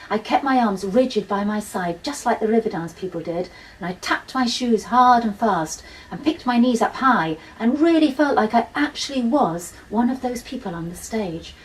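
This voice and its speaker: A woman's voice: mid-range voice, darkish tone, distant mic, slight background noise, poor audio. A woman narrating a first-person children's story expressively, as a school-age storyteller. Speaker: author reading from a children's novel.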